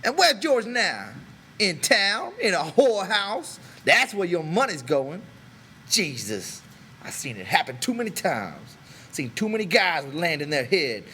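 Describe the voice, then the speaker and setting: A man voicing a bitter, proud American stable hand who talks scornfully and forcefully, in a dramatic audiobook style. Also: high voice, very animated, a little slow, a little hiss or hum, voice pushed up, exaggerated voice. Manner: scornful, brutal, forceful rural American talk.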